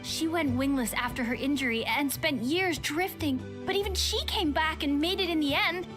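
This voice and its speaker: A woman's voice: high-pitched, normal speed, close to an everyday voice. A woman voicing an eager young woman who insistently pushes her best friend to train. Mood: coaxing. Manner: bubbly and insistent. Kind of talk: video game voice acting. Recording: game music underneath.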